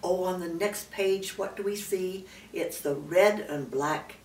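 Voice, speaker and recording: A woman's voice: lowish voice, measured pace, a little mellow. An older woman, a great-grandmother, reading a children's storybook aloud with emphatic phrasing and explanatory asides. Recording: roomy, distant mic, faint background noise.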